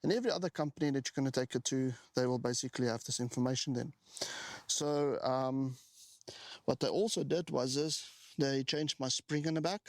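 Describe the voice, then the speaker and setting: A South African man in his forties with a gravelly, slightly creaky voice at an ordinary middle pitch. He chats loosely to the camera as he shows off his bike, often stopping on an "um" before carrying on. The sound is dry and close, with no room around it. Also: fairly quick, no echo. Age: 40s. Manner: chatty, with frequent ums and restarts. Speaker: motorbike owner showing his build. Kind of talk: casual walkaround talk to camera.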